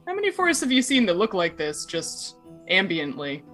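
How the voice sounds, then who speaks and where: A woman's voice: fairly low pitch, wildly swooping pitch, normal speed, fairly bright tone, faint music behind. A woman playing a blunt, dryly skeptical knight in a tabletop fantasy game.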